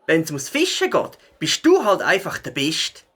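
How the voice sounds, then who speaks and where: A man's voice: on the high side, wildly swooping pitch, measured pace, bright, forceful tone, breathy edge, full character voice. A man playing a friendly, eager young cyclist hero in a children's audio drama, encouraging and enthusiastic, with a Swiss accent. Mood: admiring.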